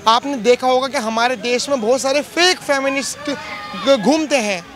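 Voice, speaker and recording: A man conducts street interviews in a strikingly high, forceful voice. He speaks emphatically, repeating phrases as he frames questions about social issues for people he stops. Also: moderate pace.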